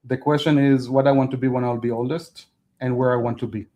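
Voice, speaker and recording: A man whose first language is Hebrew, a guest talking casually in an interview, halting and restarting as he speaks in non-native phrasing. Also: medium pitch, steady tone, dark tone. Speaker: podcast guest.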